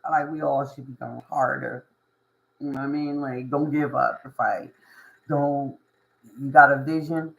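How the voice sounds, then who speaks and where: A woman with a hoarse, raspy voice, talking to camera as a spiritual reader and life coach in a casual motivational monologue, emphatic and repetitive, often asking "you know what I mean". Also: deep voice, poor audio.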